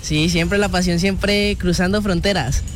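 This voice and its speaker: High-voiced Colombian fan, earnest. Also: male voice, fairly even intonation, unhurried, faint music behind. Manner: earnest, searches for words. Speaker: football fan. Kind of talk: street interview answers. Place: outdoor fan festival.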